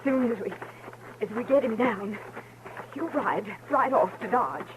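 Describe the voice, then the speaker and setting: A woman's voice: a little high, lively intonation, a little slow. A woman playing a worn, resolute older frontier mother in a 1950s radio western. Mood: grave, firm. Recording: noisy old radio recording.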